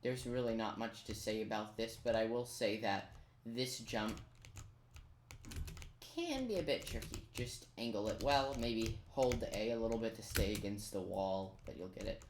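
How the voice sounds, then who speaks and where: A chatty commentator talks through a video game guide in a casual, self-deprecating way, as if thinking aloud. The voice sits at a middle pitch. It is recorded in an echoey room with faint noise and keyboard clicking behind it. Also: normal speed. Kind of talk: casual gameplay tutorial commentary. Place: indoors in a roomy-sounding room at a computer. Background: keyboard typing and clicks.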